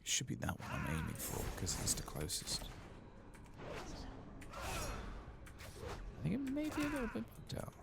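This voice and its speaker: Man, ASMR game streamer, soft-spoken and whispering, hushed murmur, fry-heavy creak, audible background noise. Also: wildly swooping pitch, a little slow, long pauses. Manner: hushed, murmuring, low-key.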